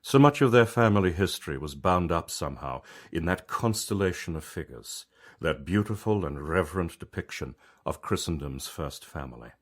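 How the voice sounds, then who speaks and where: Male author-narrator, low voice, reflective conversational storytelling, measured delivery. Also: expressive. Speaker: author reading own story.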